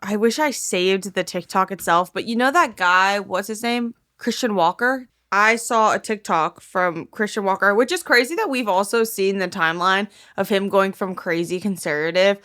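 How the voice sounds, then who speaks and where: A woman's voice: medium pitch, moderate pace, few pauses, a bit bright. A woman co-hosting a podcast, swearing freely and teasing her friends as she tells stories in casual chat, acting out quotes as she goes. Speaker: podcast co-host.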